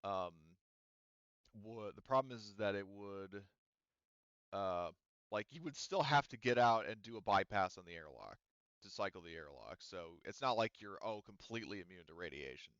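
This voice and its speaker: Male GM, low-ish smooth voice, rambly and hesitant, dry muffled online audio. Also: animated, moderate pace, fairly bright tone, no room sound. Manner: rambling, thinking aloud with many "um" and "like". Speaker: game master. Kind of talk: explaining rules and setting in a tabletop game.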